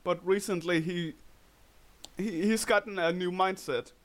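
A man with a Danish accent, co-hosting a podcast in casual chat, tired and halting as he searches for words. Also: high-pitched. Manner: halting, repeats words while searching for phrasing. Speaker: podcast co-host.

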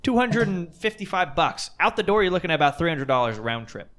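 A man in his late twenties hosting a casual podcast chat, rambling and excitable, swearing now and then. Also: a little high, fairly quick. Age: late 20s. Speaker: podcast host.

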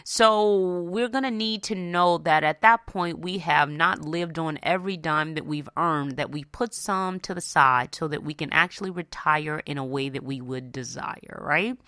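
A bright, punchy American woman speaks as a radio host, slightly low in pitch and very close and dry. She talks straight to listeners in a conversational, motivational way, often checking that they follow. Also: measured pace, hardly any pauses, no echo. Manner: conversational, addresses listeners directly with frequent "right?" and "okay?". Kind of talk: motivational personal finance talk to listeners.

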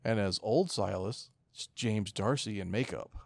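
A man's voice: fairly low pitch, a little slow, vocal fry, no echo. A man co-hosting a podcast in casual, sweary conversation. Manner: profane. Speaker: podcast co-host. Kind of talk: casual chat.

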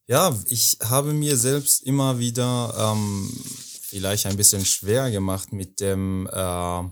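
A young man from Afghanistan co-hosting a podcast, talking hesitantly with many pauses and fillers as he searches for words. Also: fairly low pitch. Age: young adult. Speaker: podcast co-host. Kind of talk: casual podcast talk.

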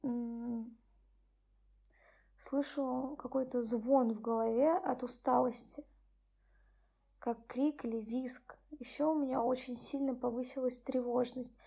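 A tired young woman hosting her own channel, speaking softly and subdued as she reads a bedtime story and chats about her day. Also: steady tone, slow pace, gravelly, breathy edge. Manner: soft, subdued, low-key. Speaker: channel host. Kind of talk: bedtime story reading and personal chat.

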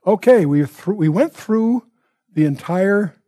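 Male Bible teacher, higher-set voice with a husky edge, deliberate and emphatic expository delivery with asides. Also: lively intonation, warm tone, no room sound, studio quality.

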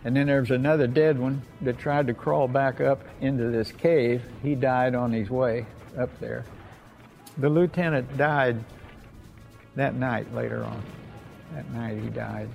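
A man's voice: mid-range voice, steady tone, unhurried, creaky, darkish tone, soft background music. An elderly American man, a war veteran, reminiscing in a plainspoken, folksy way and often repeating himself. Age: 80s or older. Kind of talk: oral history reminiscence.

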